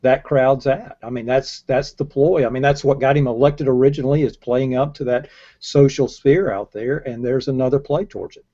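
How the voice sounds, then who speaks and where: Man, sixties, American accent, mellow dark tone, casual panelist, lo-fi video call. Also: medium pitch, fairly even intonation, moderate pace. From United States. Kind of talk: casual commentary. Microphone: earbud headset on a video call. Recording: dull lo-fi video call audio.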